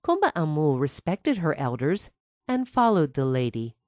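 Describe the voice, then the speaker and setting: Low-voiced, expressive woman storyteller. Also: normal speed, dry recording. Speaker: storyteller, narrator. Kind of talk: scripted children's fairy tale narration.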